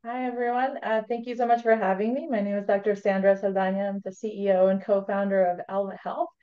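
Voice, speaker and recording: A woman in her thirties, a startup CEO speaking on a webinar panel, measured with many ums. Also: mid-range voice, flat delivery. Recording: lo-fi video call audio.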